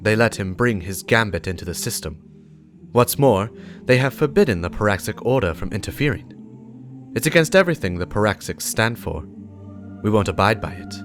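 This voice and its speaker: A man voicing a stern, righteous warlock, speaking gravely and deliberately in a dramatic lore reading, with emphatic warnings against corruption. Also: lowish voice, animated, fairly bright tone, soft background music. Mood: stern, urgent.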